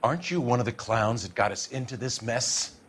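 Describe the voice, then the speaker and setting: An actor playing a brusque, indignant city mayor in a video game. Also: male voice, mid-range voice, expressive, fairly quick, a bit bright, slightly rough. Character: irritable, self-important male city mayor under pressure. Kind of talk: video game dramatic acting.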